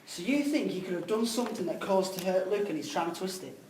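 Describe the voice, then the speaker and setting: A friendly, inquisitive mate, played by a man in an audio drama. He talks quickly and informally. The recording is echoey. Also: high-pitched, animated, a little mellow. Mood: curious. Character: curious, friendly male mate asking questions. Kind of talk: amateur audio drama reading. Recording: echoey room with background noise.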